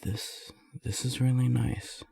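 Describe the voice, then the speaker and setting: A man playing a lovestruck, self-deprecating non-binary friend in an intimate romantic roleplay audio, speaking softly and haltingly in a breathy, husky voice. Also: medium pitch, little pitch movement, dry recording, heavily acted. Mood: shy, happy. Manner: hesitant, halting, soft-spoken.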